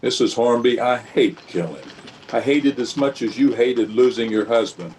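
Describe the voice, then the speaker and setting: A man playing a calm, weary, steadfast Old West marshal in a radio western, measured, grave and courteous. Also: medium pitch, a little hiss or hum, close to an everyday voice, rough recording. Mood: grave, firm.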